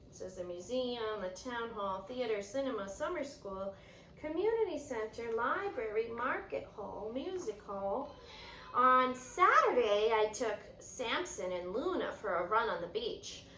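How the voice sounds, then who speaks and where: A woman's voice: on the high side, animated, unhurried, sharp tone. A woman reading a children's picture book aloud expressively and explaining it in an instructive, teacherly way. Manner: instructive, explains and points things out. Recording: roomy echo, distant mic, faint noise.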